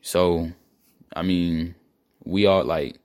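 A man's voice: sits low, fairly even intonation, drawn-out words, darkish tone. A man in his early twenties, a guest on a podcast, talking in a laid-back, slangy way. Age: 20s. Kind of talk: casual chat.

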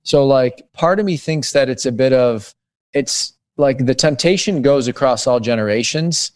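A man's voice: mid-range voice, normal speed, darkish tone, dry recording. A man in his late thirties, a guest author talking through ideas in a podcast interview, with frequent restarts as he thinks aloud. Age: 30s. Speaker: guest author on digital wellness.

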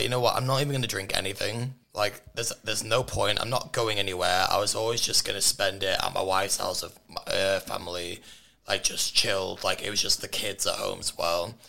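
A young man hosting a casual radio chat show from a studio, his voice hoarse and worn, teasing and laughing often. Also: lowish voice, sharp tone, rough recording. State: hoarse, lost voice. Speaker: radio host. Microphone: studio microphone.

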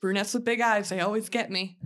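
Female, mid-range voice, informal podcast chatter, animated storyteller who acts out quotes. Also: mid-range voice, a little fast. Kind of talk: casual chat.

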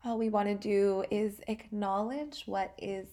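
A woman hosting a guided relaxation meditation, speaking calmly and soothingly in short phrases with long pauses. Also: mid-range voice. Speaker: guided meditation podcast host.

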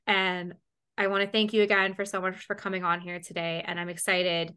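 Bright-voiced chatty woman podcast host. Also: on the low side, brisk, clear, resonant tone. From Canada. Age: 20s. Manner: swears freely, animated and chatty. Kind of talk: casual interview chat.

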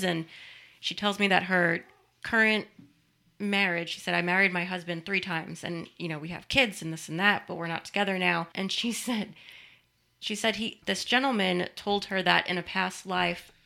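Woman, podcast producer, chatty, lowish, gravelly, bright. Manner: chatty, laughs easily, storytelling. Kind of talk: casual podcast chat.

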